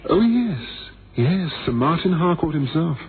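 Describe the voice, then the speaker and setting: An actor playing a blind former pilot, theatrical and bitterly witty, with a wry, wordy, ironic manner, in a radio drama. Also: male voice, fairly high pitch, big pitch swings, unhurried. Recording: noisy, thin phone-like old radio recording.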